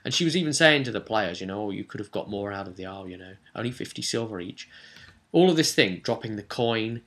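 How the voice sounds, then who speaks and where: A man recapping a tabletop roleplaying session as game master, telling it in an animated way with emphatic asides. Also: on the low side, brisk.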